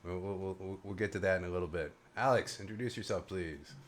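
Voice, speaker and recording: A man's voice: deep voice, fairly quick. A man hosting a casual panel chat over a video call, joking and rambling. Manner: jokey, rambling, self-mocking. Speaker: host of a panel show.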